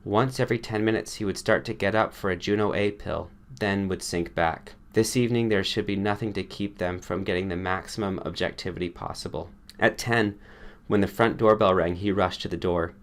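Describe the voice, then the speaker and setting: A man's voice: low-pitched, steady tone, fairly quick. A man reading a short story aloud as an audiobook narrator, in a scripted storytelling style.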